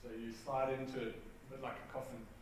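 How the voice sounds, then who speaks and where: A man in his fifties giving a relaxed, chatty talk to an audience in a large theatre, laughing often as he takes questions. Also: mid-range voice, fast talker. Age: 50s. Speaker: adventurer and guest speaker. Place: large theatre hall with tiered seating. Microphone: far camera, no mic visible. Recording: very echoey hall from far off, noisy.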